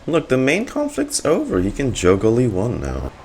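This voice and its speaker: A man's voice: medium pitch, huge pitch swings, normal speed, a little mellow, slight background noise. A man giving wry, jokey reaction commentary as a gaming streamer. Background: game music.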